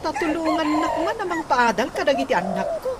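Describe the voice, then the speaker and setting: Woman acting pleading relative, high voice. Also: sing-song, brisk. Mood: pleading, concerned. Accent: Ilocano. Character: pleading, sympathetic younger female relative with children. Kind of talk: Ilocano radio comedy drama acting. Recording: very noisy, cooing birds behind.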